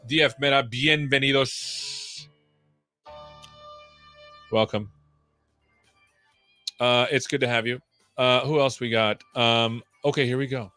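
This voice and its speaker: A man's voice: medium pitch, steady tone, slow, long pauses, pushed and bright, soft background music. A man hosting a casual livestream, rambling playfully with jokey asides. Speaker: live show host. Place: own room at home.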